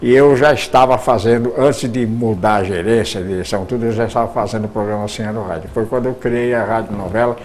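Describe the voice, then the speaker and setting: Older man reminiscing, halting restarts. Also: fairly low pitch, steady tone. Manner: halting, many repeated words and restarts. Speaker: retired radio producer. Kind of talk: reminiscing in an interview.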